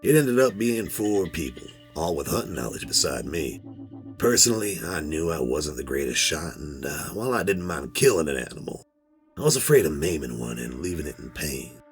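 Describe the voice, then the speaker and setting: A man's voice: very deep voice, big pitch swings, moderate pace. A man playing a middle-aged hobbyist who tells a first-person horror story in a folksy, rambling, conversational way. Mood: hushed, uneasy. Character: laid-back middle-aged male metal detector hobbyist recounting a strange find. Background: music.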